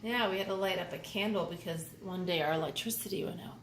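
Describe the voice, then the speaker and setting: A woman giving an expressive picture-book read-aloud, pausing to explain things to young children. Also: on the low side. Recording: roomy echo with faint background noise.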